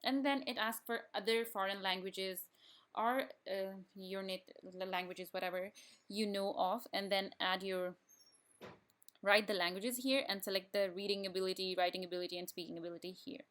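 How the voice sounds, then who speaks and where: A young woman from Pakistan whose native language is Urdu, calmly guiding viewers step by step through an online application form as a student. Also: medium pitch, normal speed. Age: young adult. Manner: plain step-by-step instructions with frequent restarts. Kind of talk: screen-recorded tutorial walkthrough.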